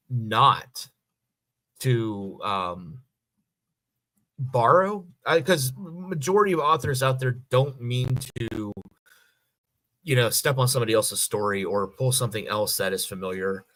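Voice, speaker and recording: A man, middle-aged, in a casual podcast discussion, reasoning aloud in a halting way and rephrasing as he goes. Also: medium pitch, a bit bright, no room sound. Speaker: podcast co-host.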